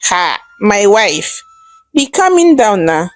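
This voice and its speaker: Woman acting a patient, easygoing husband, expressive. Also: medium pitch, takes it slowly. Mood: calming, patient. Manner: patient, explaining, affectionate. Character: calm, easygoing husband, aspiring politician. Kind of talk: storybook character dialogue.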